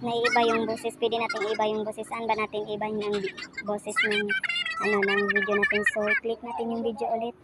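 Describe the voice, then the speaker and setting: A woman with a Filipino accent giving a casual step-by-step tutorial in a halting, self-correcting way, like someone demonstrating as she goes. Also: a little high, little pitch movement. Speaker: tutorial presenter. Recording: noisy home recording with animal sounds.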